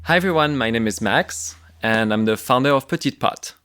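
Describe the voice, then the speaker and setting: A man from France with a southern French accent, pitching his startup to investors in an earnest, slightly halting way. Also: expressive, pushed and bright, smooth, no room sound. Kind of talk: business pitch and conversation.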